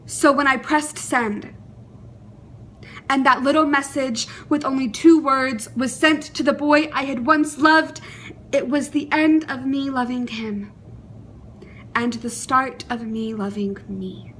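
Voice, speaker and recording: Young female monologist, high-pitched and unhurried, emotive, deliberate phrasing with pauses. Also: fairly even intonation, a bit bright, slight background noise. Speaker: monologue performer. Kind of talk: dramatic spoken monologue.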